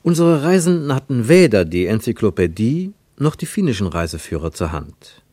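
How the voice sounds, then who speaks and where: A man narrating a literary radio play in a measured, precise, wry storytelling manner. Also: fairly low pitch, very animated, dark tone, dry recording, studio quality. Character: measured, wry male narrator of a travel tale.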